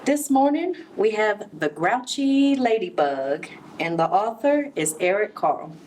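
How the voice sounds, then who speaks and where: A woman reading a storybook aloud to young children, expressive, with explanatory asides. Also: mid-range voice, measured pace. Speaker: Head Start center director reading to children. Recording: distant mic, clear background noise.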